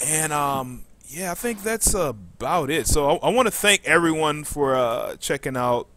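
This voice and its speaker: Guy hosting a casual podcast, kinda bright voice, stops and starts a lot, bit of noise behind him. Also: fairly high pitch, animated. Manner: halting, frequent pauses mid-sentence. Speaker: podcast host.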